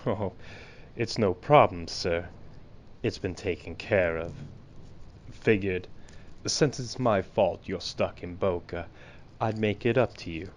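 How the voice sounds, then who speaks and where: An actor playing a small-town bus driver in a radio play, folksy and overly polite with an obliging manner that rings false. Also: male voice, low voice, slight background noise.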